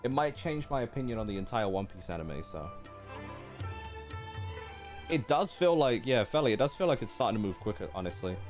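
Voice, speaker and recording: Dude reacting to a game on stream, chatty and slangy, really animated intonation. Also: male voice, on the low side, fairly quick, long pauses. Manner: informal, slangy, chatting to viewers. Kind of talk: casual live stream reaction commentary. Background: game music.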